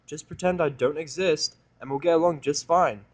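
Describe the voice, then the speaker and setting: A man's voice: fairly high pitch, normal speed, pitched above the performer's own voice, natural voice. A man voicing a hostile, sarcastic human who snaps and rants angrily in a fan audio drama. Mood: cold. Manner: snappish, ranting, swearing. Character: bitter, hostile, sarcastic human male misanthrope.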